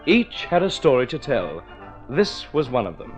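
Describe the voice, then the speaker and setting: A man's voice: mid-range voice, very animated, normal speed. A man reading scripted narration as the announcer of an old-time radio drama. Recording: muffled vintage radio recording. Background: music.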